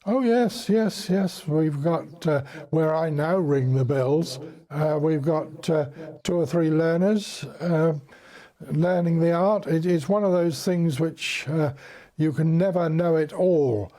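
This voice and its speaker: A man's voice: on the high side, takes it slowly, dark tone. An elderly man with an English accent, recalling and explaining things as an interview guest in a courteous, formally worded, hesitant way. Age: 70s or older. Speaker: interview guest, bell ringer. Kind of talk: unscripted recollection and explanation in a broadcast interview.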